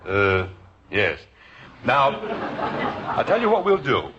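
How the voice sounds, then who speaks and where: A man playing a gentle, indulgent middle-aged father in a 1950s radio sitcom, fond and wryly hesitant, on a dull old recording. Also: mid-range voice, huge pitch swings, slow pace, noisy background. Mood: wry, conspiratorial.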